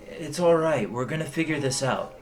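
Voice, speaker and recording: A man's voice: fairly high pitch, expressive, normal speed, natural voice. An actor playing a protective, concerned man in an audio drama, earnest and reassuring. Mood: worried, reassuring. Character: concerned, steady male confidant, protective problem-solver.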